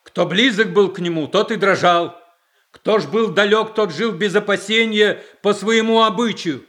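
A man's voice: sits high, normal speed, fairly bright tone. An actor playing an aggrieved, outspoken nobleman in a verse radio play. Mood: accusing. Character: aggrieved, outspoken noble nostalgic for old ways.

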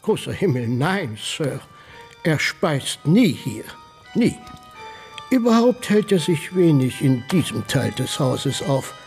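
An actor playing a formal, discreet household butler in a German radio play, deferential and guarded, with a husky voice. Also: male voice, on the high side, big pitch swings, takes it slowly, a little mellow, soft background music, heavily acted. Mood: polite, guarded.

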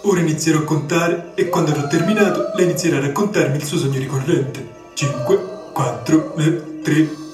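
An actor playing a brisk hypnotist therapist guiding a patient in a theatrical radio comedy. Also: male voice, fairly high pitch, natural voice. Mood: brisk, instructing. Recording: echoey, distant, music behind.

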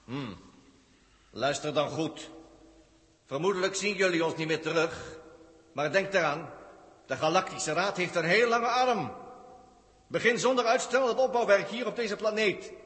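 A man's voice: high-pitched, animated, measured pace, long pauses, a bit bright, long reverb tail. An actor playing a bold male space traveller bluffing as a stern inspector in a 1960s radio play. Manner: commanding, emphatic, rising to stern orders. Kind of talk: 1960s radio drama acting.

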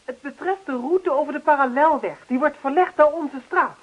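High-pitched, insistent campaigner, played by a woman. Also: moderate pace, natural voice. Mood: officious, earnest. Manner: persistent, officious, speechifying. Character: earnest, insistent female neighbourhood action-committee campaigner. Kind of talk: radio play acting. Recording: dull lo-fi radio play recording.

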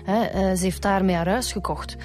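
An actress playing an observant, persistent Flemish police detective in a radio drama, matter-of-fact and inquisitive. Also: female voice, mid-range voice, normal speed, darkish tone, soft background music, natural voice.

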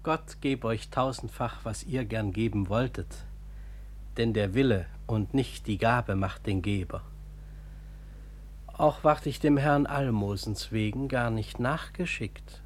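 An actor playing a humble, pious, guileless lay brother in a classical radio drama, speaking with simple, sententious earnestness. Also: male voice, mid-range voice, slow, a little hiss or hum, lightly acted.

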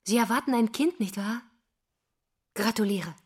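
A woman's voice: a little high, moderate pace, slightly rough. An actress playing a pupil's mother in a radio drama, seductive and menacing, brazen and wounded, with a provocative, insinuating delivery. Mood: flirtatious, pushy. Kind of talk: stage-like radio drama dialogue.

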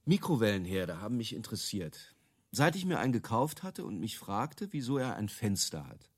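A man's voice: fairly low pitch, big pitch swings, moderate pace, breathy edge. A male actor playing a self-assured, cynical inventor of useless features, explaining himself wryly as an interviewee. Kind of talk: conversational radio drama acting.

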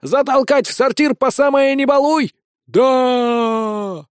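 Man yelling as shrill bloodthirsty peasants. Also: slow pace, clean tone, no room sound, studio quality. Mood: furious. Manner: shouting, coarse. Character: rowdy, bloodthirsty angry peasant mob with old granny.

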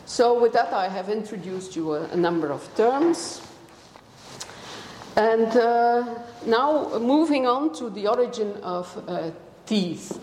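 A woman, a native Dutch speaker with a Dutch accent, gives a scientific lecture to a broad audience in a hesitant, explanatory way with frequent pauses. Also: on the high side, lively intonation, a little mellow. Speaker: academic guest lecturer. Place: large indoor lecture room. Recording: echoey lecture hall with long reverb, background noise.

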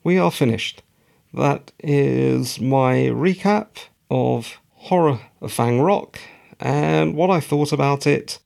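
Croaky, slow-talking man. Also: mid-range voice, a little mellow. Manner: rambling, self-mocking asides. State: croaky, tired voice. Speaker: podcast host. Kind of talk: solo podcast recap monologue.

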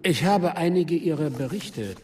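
An actor playing a fussy, weary and disapproving embassy privy councillor in a radio drama, speaking in formal, pedantic phrases. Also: male voice, fairly high pitch, animated, measured pace, a little mellow, a little hiss or hum. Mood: pedantic disapproval.

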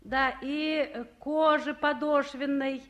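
An actress playing a practical, good-natured town council official in a radio play, with a folksy, conciliatory manner. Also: female voice, high voice, steady tone, takes it slowly, fairly bright tone, highs cut off. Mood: brisk, familiar.

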